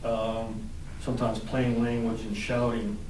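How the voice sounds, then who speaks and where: A man with a nasal voice in a focus group, speaking in a measured, careful way with many fillers. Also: on the low side, little pitch movement, a little mellow. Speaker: focus group participant, air traffic controller. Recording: roomy, distant, noisy room mic.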